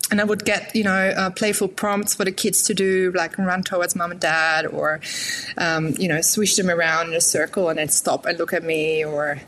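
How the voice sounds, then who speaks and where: A woman's voice: fairly low pitch, level tone, normal speed, a bit bright, a little hiss or hum. A woman from Germany, a guest on a casual podcast, chatting and explaining in an easygoing conversational way. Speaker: guest, photographer and studio owner.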